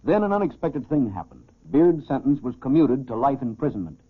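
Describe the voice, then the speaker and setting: An actor playing a formal police chief who narrates a case in a scripted 1940s radio style. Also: male voice, mid-range voice, very animated, fairly quick, slightly rough. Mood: measured. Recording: tinny, band-limited 1940s radio recording.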